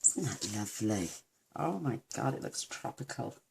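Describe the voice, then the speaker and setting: A woman with a croaky voice, chatting warmly through a card-making demonstration and gushing over each step. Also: a bass voice, sing-song, moderate pace, background noise. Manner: gushing, talks to viewers while working.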